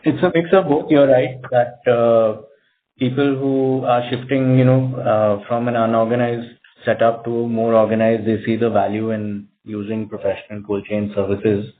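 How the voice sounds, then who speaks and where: A company executive, a man, answering on an earnings call in a measured, explanatory, hesitant way over a tinny phone line. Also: mid-range voice, little pitch movement, slight background noise. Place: conference call. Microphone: telephone.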